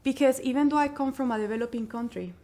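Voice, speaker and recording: A woman's voice: high voice, fast talker, mellow. A woman from Colombia, answering interview questions earnestly as a social entrepreneur.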